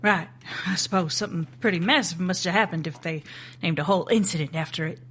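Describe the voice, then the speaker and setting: An actress playing a composed, sharp-witted female agent in an audio drama, asking direct, dry questions in a slightly raspy voice. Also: fairly low pitch, fast talker. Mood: probing.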